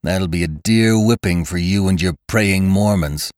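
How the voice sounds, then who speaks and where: A man's voice: low-pitched, a little slow, darkish tone, dry recording, studio quality. A man voicing a proud, passionate young male cowboy rider who answers with taunting defiance. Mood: defiant.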